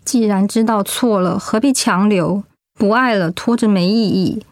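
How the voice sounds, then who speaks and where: A woman acting a hurt but resolute mother in a radio drama, speaking gently, reflectively and with feeling. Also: medium pitch, normal speed, dry recording, clean studio sound, natural voice. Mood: resigned, reflective. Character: hurt, resolute mother leaving her marriage. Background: background music.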